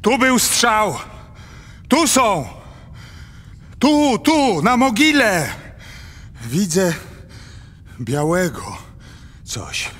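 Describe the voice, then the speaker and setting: An actor playing an agitated, admonishing aristocratic father in a radio play, husky and declamatory. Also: high voice, sing-song, extremely slow, long pauses, a bit bright, exaggerated voice. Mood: alarmed. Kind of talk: dramatic verse acting in a radio play.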